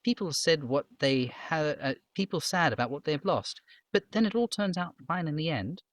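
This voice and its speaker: Casting: man playing innocent, earnest young game girl, pitched high, lively and deferential. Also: moderate pace, no echo, lightly acted. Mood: thoughtful, innocent. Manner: deferential, innocent, matter-of-fact. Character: polite, earnest young girl chatting helpfully.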